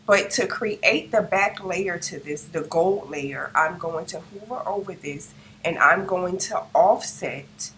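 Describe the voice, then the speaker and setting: Hoarse woman walking through a craft tutorial. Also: fairly low pitch, slow pace, few pauses, fairly bright tone, slight background noise, low-quality recording. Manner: talks through each click as she works, checking in with "okay?". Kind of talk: step-by-step screen tutorial narration. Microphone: no microphone, device's built-in mic.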